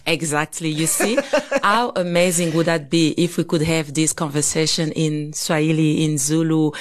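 A woman in her thirties from Congo, co-hosting a podcast, speaking reflectively and thinking aloud with frequent pauses and restarts. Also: sits low, steady tone. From Congo (DRC). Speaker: podcast co-host. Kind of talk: casual conversation.

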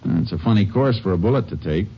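An actor playing a calm, shrewd Texas Ranger investigator in a 1950s radio drama, talking in a steady, deliberate way. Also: male voice, low voice. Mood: calm, probing. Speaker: lead actor. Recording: dull old radio recording with faint hiss.

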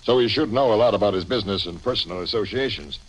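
Low-voiced, probing police captain played by an actor. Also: male voice, muffled recording, lightly acted. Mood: businesslike. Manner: authoritative, deliberate questioning. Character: gruff, methodical police homicide captain, probing and suspicious. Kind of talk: 1950s radio drama acting.

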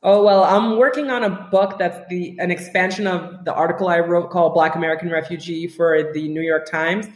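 A woman's voice: lowish voice, fairly even intonation, brisk, hardly any pauses. A woman from Trinidad and Tobago, raised in the US, talking as a guest in a casual podcast conversation, frank and emphatic. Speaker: guest, writer. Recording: roomy echo.

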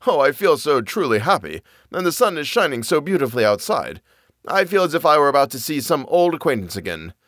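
A man's voice: a little high, very animated, fairly quick, fairly bright tone, no echo, clean studio sound, voice pushed up. A man voicing a contented, naive father in a fairy tale, speaking with open wonder. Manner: wondering, expansive.

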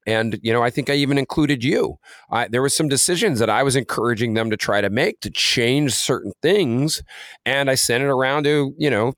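A man's voice: medium pitch, fairly quick, a bit bright, no echo, studio quality. A man co-hosting a podcast in a casual, conversational way, often dropping in "you know" as he talks. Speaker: podcast co-host, music career coach.

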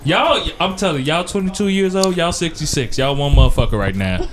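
A man's voice: a little high, animated, brisk, faint music behind. A man hosting a casual podcast, asking questions bluntly and crudely. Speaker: podcast host.

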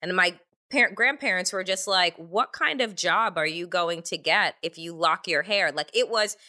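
A woman hosting a conversational interview, animated and talking in quick restarts and asides. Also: fairly low pitch. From Jamaican family. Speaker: interview host.

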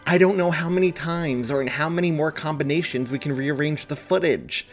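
A man's voice: on the high side, brisk, soft background music, voice pushed up, natural voice. A man reading the part of a weary, exasperated male college student in a story, frustrated and tight-jawed. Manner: frustrated, speaking through gritted teeth.

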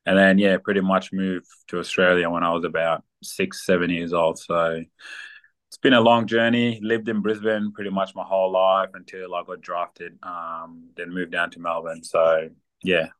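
A young man from South Sudan, a guest athlete chatting casually in an interview, relaxed and full of ums. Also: sits low, moderate pace. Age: young adult. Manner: relaxed, many ums and fillers.

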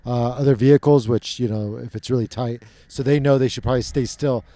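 A man's voice: medium pitch, fairly even intonation, brisk, warm tone, no echo. A man hosting a casual chat, joking and swearing freely with energy. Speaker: host.

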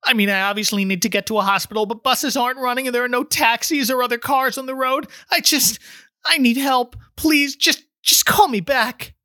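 A woman's voice: on the high side, a little fast, bright, forceful tone, no echo, studio quality, pitched above the performer's own voice. A man voicing a panicked pregnant woman, breathless and pleading, in an audiobook story.

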